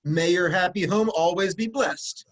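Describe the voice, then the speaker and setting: An actor playing a humble, cheery old messenger from Corinth in a formal, expressive reading of a Greek tragedy. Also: male voice, high voice, a little slow. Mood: eager, courteous.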